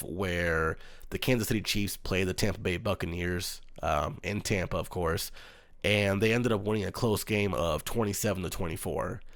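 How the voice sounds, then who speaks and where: A man hosting his own sports talk show, speaking in a calm, earnest monotone with frequent pauses and "um"s. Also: fairly low pitch, dry recording, clean studio sound. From United States. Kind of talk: solo sports commentary.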